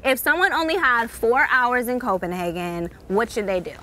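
A woman's voice: on the high side, normal speed, pushed and bright, a little hiss or hum, poor audio. A woman in her thirties hosting an upbeat talk show outdoors on a rooftop with a handheld mic, bubbly and playful, laughing often. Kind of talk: upbeat hosted chat.

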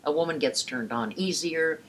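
A woman's voice: deep voice, normal speed, a little hiss or hum. An older woman in casual chat as co-host, telling frank stories with theatrical flair. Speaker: sex educator co-host. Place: indoors before a paper screen.

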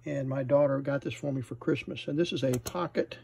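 A middle-aged American man with a husky, slightly rough voice at an average male pitch. He talks casually through a hands-on repair, pausing to think aloud. His delivery is informal and a little hesitant, like a hobbyist host showing his work. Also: fairly even intonation, darkish tone. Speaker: host of a vintage radio repair channel. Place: indoor workshop with electronics benches.

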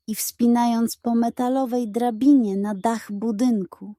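Female storyteller for children's detective tales: unhurried, soft-toned, suspenseful, recorded dry. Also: a little high, fairly even intonation, dry recording.

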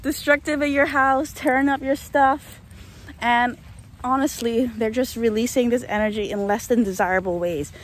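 A woman's voice: fairly high pitch, fairly even intonation, moderate pace. A young woman vlogging on a phone at arm's length while walking her dog through a rainy field, chatting and ranting about dog training and laughing often. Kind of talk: walk-and-talk vlog. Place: open grassy field outdoors in rain. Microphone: phone held at arm's length. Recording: faint outdoor rain ambience.

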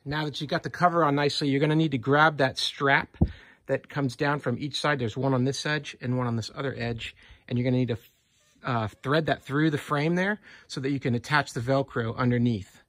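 A man hosting a casual how-to video, explaining step by step in a plain, relaxed way while working outdoors beside a vehicle, filmed on a handheld phone. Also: mid-range voice, brisk, no echo. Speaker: channel host.

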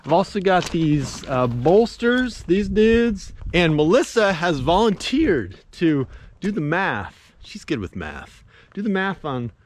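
A man in his forties with a slightly high voice talks loosely to an action camera held at arm's length. He sounds offhand and self-mocking about his own work, and a faint wash of outdoor noise sits under his voice. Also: sing-song, takes it slowly. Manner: casual self-deprecating talk to camera. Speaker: channel host. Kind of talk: casual DIY build vlog. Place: outdoors and in an open-sided barn on a gravel site.

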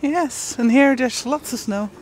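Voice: high-pitched, unhurried, darkish tone, poor audio. A vlogger chatting casually while walking outdoors. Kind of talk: casual walking vlog chat. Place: outdoors walking in a snowy theme park. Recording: outdoor park noise.

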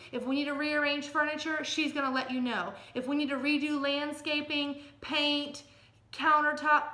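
A woman's voice: high voice, bright, forceful tone. A middle-aged American woman giving casual, folksy advice to camera as a real estate agent, speaking emphatically and plainly. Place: small furnished room at home or office, indoors. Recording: echoey room sound.

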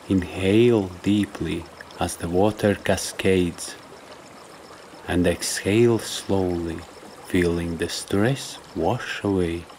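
A man leading a scripted guided meditation in a soft, measured, instructional way, leaving pauses between phrases. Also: low-pitched, slight background noise. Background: trickling stream and nature ambience.

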